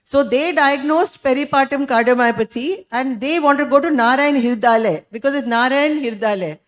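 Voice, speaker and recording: A woman with a loud voice, an obstetrician on a conference panel, speaks frankly and shares her clinical experience. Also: high voice, moderate pace. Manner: frank, anecdotal.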